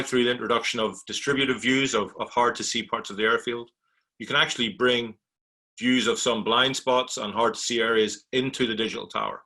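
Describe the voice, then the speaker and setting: A man speaking as an industry panelist, explaining points in a measured, conversational way. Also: medium pitch, bright, forceful tone. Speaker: technology provider, panelist.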